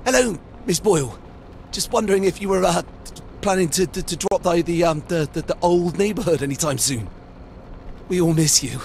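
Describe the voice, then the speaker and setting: An actor playing timid, apologetic British townsmen in a video game, stammering and deferential, with a creaky voice. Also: male voice, high-pitched, normal speed, darkish tone, a little hiss or hum. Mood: nervous, hopeful. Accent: British English.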